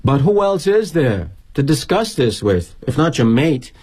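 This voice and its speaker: An actor playing a jaded middle-aged corporate executive, delivering a darkly wry, self-justifying stage-style monologue with arch, mannered phrasing. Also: male voice, on the high side, very animated, unhurried, a little mellow, slightly rough, exaggerated voice. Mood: rueful, wry. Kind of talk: dramatic monologue, theatrical storytelling.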